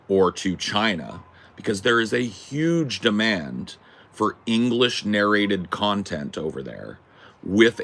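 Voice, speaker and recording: A man with a deep, distinctive voice talking in a casual, rambling way, opinionated and emphatic, swearing freely. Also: a bit bright. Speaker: host.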